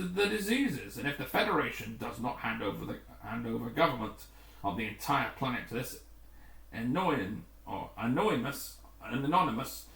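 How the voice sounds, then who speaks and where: A man reading the part of a grim, authoritative rescue commander in a storybook, formal and deliberate. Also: medium pitch, a bit bright, far from the mic. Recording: roomy, echoing room.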